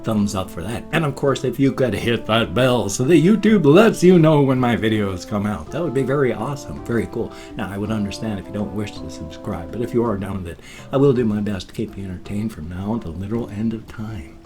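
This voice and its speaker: A man in his fifties from the United States, chatting casually in a vlog and drawling his thank-yous slowly. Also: on the low side, animated, music in the background. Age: 50s. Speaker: vlogger.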